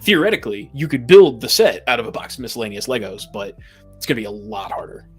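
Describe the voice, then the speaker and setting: Male podcast panelist, average pitch, casual yet emphatic and opinionated, with soft music in the background. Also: big pitch swings, fast talker, breathy edge. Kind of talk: casual opinionated discussion of tabletop games.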